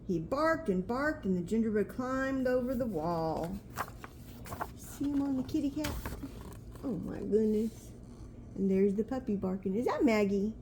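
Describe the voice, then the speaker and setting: An older woman with a mellow, soft-edged voice reads a picture book aloud to a grandchild. She is homely and chatty, at a middling pitch, with faint noise in the background. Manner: homely, chatty, pointing things out. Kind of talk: storybook read aloud with asides to a child.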